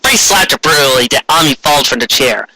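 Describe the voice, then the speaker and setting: A man's voice: a little high, lively intonation, quick pace, sharp tone. A man giving a dramatic comic reading of fan fiction, theatrical and stumbling over clumsy wording.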